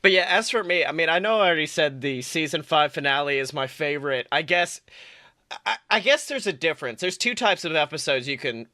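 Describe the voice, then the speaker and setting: A young man rambles and jokes through a casual fan chat with lots of fillers. His voice is bright and a touch high. The recording is close and dry. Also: lively intonation, a little fast, no echo. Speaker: podcast co-host.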